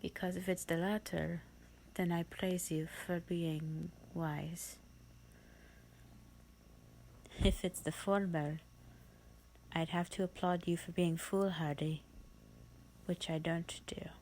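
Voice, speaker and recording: A haughty vampire thousands of years old, played by a woman, speaks in a low, breathy half-whisper. She drawls very slowly, bored and condescending, as in a theatrical roleplay audio. There is faint noise in the recording. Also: fairly even intonation, darkish tone, poor audio.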